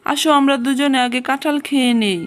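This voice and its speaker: A woman's voice: high voice, fairly even intonation, normal speed, background noise, close to an everyday voice. A woman voicing a cunning, cocky male fox thief in a children's cartoon, boastful and breezily dismissive. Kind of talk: children's cartoon character acting.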